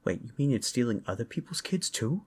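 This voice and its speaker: A man's voice: medium pitch, sing-song, brisk, husky. A man voicing a hot-tempered, vengeful father and roguish paladin, brash and blunt, in a fantasy audio roleplay. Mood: vengeful, outraged. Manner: brash, blunt, foul-mouthed.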